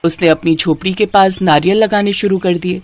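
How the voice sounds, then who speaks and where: A woman's voice: lowish voice, steady tone, normal speed, a little mellow, no echo. A woman narrating a scripted children's moral story as the storyteller.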